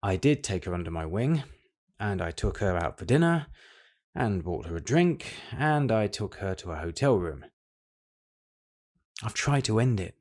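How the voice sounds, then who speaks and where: Casting: middle-aged British husband, dutiful but guilty, measured delivery, slightly low voice, confessional radio drama. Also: male voice, big pitch swings, a little mellow. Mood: guilty, self-justifying. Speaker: actor in an audio drama. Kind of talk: confessional audio drama monologue.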